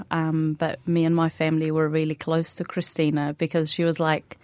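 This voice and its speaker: Palestinian woman, native arabic speaker, young adult, low voice, soft-spoken, many pauses, dry studio sound. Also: dry recording. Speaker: interview guest. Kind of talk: radio interview conversation.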